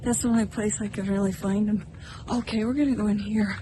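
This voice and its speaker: A woman's voice: medium pitch, fairly even intonation, brisk, background noise. A middle-aged American woman talking casually and reflectively to camera as a vlogger, in a musing, slightly self-conscious conversational way. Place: outdoors in a park, partly in a parking lot.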